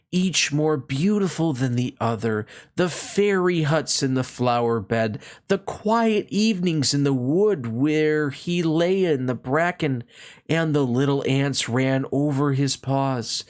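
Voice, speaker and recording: A woman's voice: rumbling low, darkish tone. A woman reading a children's story aloud as a scripted narrator.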